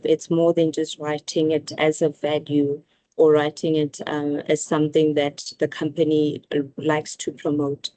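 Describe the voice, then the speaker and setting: A woman manager at a rail operating company interviewed for a corporate video, speaking deliberately and pausing on fillers. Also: deep voice, warm tone, dry recording.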